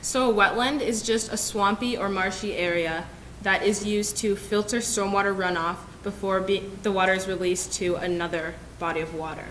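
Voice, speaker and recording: Young woman from michigan presenting to the class, echoey room, faint rumble. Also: steady tone, normal speed, fairly bright tone. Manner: clear, orderly explaining. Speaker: student presenter. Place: lecture room.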